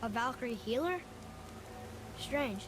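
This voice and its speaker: Game role: earnest young American boy adventurer, high voice, inquisitive and candid, noisy surroundings. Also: lively intonation, takes it slowly, exaggerated voice. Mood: eager. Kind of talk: natural video game dialogue.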